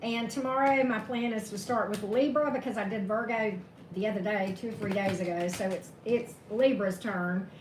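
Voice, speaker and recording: A woman tarot reader, slightly hoarse, chatting casually with live-stream viewers and greeting them by name. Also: medium pitch, normal speed. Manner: chatty, greets viewers by name, laughs at own corny jokes. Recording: echoey room with steady background noise.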